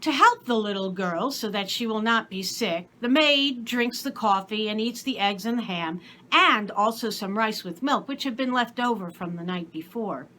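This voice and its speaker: Bright-voiced woman reading a story. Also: medium pitch, animated, normal speed. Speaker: story reader. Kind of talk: expressive scripted storybook narration.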